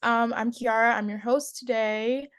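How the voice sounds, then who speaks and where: Young female webinar moderator, friendly and hesitant, fairly high voice, dry video-call sound. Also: fairly even intonation, a little slow, clean tone, dry recording. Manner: friendly, hesitant with many ums.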